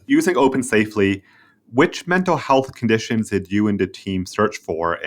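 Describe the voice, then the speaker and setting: A man hosting a professional podcast interview, politely recapping points and putting questions. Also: low voice. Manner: polite, recaps before asking.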